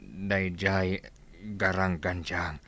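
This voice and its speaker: A man's voice: low voice, fairly even intonation, fairly bright tone, exaggerated voice. A man playing an ailing, bitter father in a radio drama, struggling to speak in laboured, broken phrases. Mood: bitter, labouring. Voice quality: halting, laboured, broken speech.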